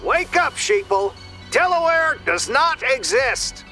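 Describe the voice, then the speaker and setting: A man's voice: very high-pitched, lively intonation, slow, pushed and bright, faint music behind, full character voice. A man acting as a fervent, alarmist conspiracy theorist in an audio drama, speaking emphatically as if addressing the public. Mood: shouting alarm. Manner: emphatic, declamatory. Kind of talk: audio drama acting, urgent speech to listeners.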